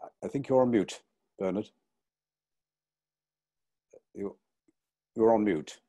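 A man's voice: fairly low pitch, slow pace, dark tone. A man in his sixties chairing an online panel and handing over to speakers. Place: indoor study lined with books. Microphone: video call, computer microphone.

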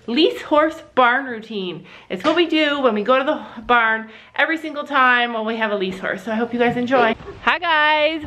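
Cheery Canadian mom vlogger, high voice, talking to the camera at arm's length. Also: female voice, bright, forceful tone, slight background noise. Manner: chatty, upbeat, talking straight to camera. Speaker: family vlogger. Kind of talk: casual vlog narration. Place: home and outdoor horse barn. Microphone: handheld camera at arm's length. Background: background music.

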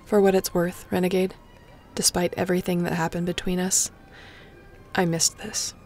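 A woman's voice: on the low side, flat delivery, normal speed, a little mellow, faint music behind, lightly acted. A woman playing a snarky, affectionate but guarded space captain in an intimate sci-fi audio roleplay, talking in a casual, teasing way. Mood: sincere, affectionate.

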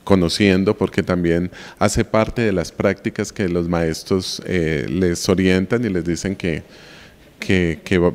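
A man's voice: low-pitched, darkish tone, in a large hall. A man in his forties, a university lecturer, giving reflective interview answers and checking in with the listener as he explains. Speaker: university lecturer and museum guide. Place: indoor room of a colonial house museum. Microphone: handheld mic held by interviewer.

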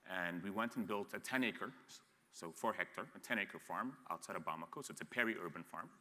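Deep-voiced, blunt man on a panel. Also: a little fast, pushed and bright, in a large hall. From grew up in Ethiopia. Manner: blunt, frank, with frequent restarts and repeated words. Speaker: panelist, agribusiness entrepreneur. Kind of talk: candid panel talk. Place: studio stage set, seated in an armchair.

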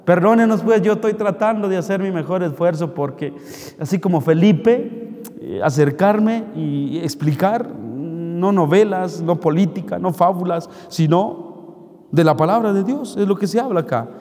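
A middle-aged man with a Mexican accent, a priest giving an animated homily at Mass, joking and scolding with rhetorical questions into a handheld mic. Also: high-pitched, moderate pace. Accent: Mexican Spanish. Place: large church, indoors. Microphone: handheld microphone. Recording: long church reverb.

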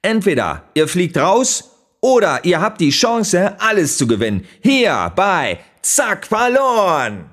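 A high-pitched, showy game show host. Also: male voice, very animated, a bit bright, full character voice. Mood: suspenseful, showy. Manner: theatrical, drawn-out catchphrases. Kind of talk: game show presenting in an audio drama. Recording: music and crowd behind the voice.

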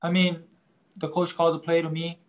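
A young man, a college quarterback, answering post-game press questions in a halting way, leaning on "I mean" and crediting his teammates. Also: fairly high pitch, completely flat delivery, lo-fi sound. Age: young adult. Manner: halting, starts nearly every phrase with "I mean".

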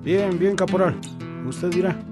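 An actor as a gruff, stubborn Bolivian father, higher-voiced. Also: a little slow, music in the background. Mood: polite. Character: irritable, defensive father, hard-drinking and stubborn. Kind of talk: radio soap acting.

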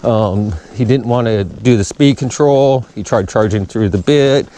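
A calm, plain-spoken man explains horse training from the saddle, his mellow voice caught by a head-mounted action camera outdoors. He talks at an easy pace with plenty of fillers. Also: on the low side, unhurried, slight background noise. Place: outdoors on a wooded trail, riding a horse.

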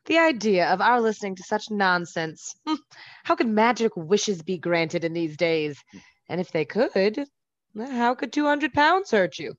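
Radio drama casting: sarcastic, skeptical wife and mother, medium pitch, slightly muffled close sound. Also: very animated, measured pace, dry recording. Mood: teasing, dismissive. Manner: dry, sarcastic. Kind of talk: radio play acting.

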